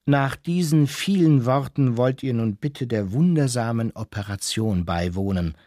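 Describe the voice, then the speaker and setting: A man with a husky voice narrates a literary radio play, theatrically lecturing and addressing the listener directly. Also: medium pitch, measured pace, mellow, dry recording, clean studio sound.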